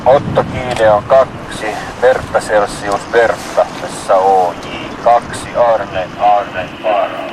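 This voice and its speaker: A man's voice: fairly low pitch, steady tone, hardly any pauses, background noise. A man working as a radio operator in an exercise, formally dictating messages in short, repeated procedural phrases.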